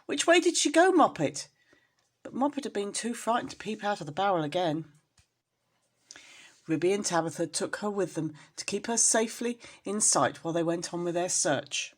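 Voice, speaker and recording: A woman reading a children's story aloud as a storyteller, in an expressive style. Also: lowish voice, moderate pace.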